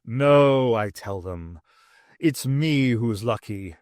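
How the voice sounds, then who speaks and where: A man voicing a jaunty, flattering pet cat in an audiobook, with theatrical, old-fashioned pantomime phrasing. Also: medium pitch, slow, no room sound, clean studio sound, pitched below the performer's own voice. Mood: hearty, boastful.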